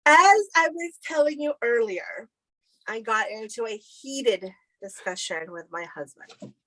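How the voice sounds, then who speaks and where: A woman from El Salvador co-hosting a casual crochet podcast, telling stories in a chatty, animated way and laughing often. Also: a little high, measured pace, no room sound, low-quality recording. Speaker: podcast co-host. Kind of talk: casual chat. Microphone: video call recording.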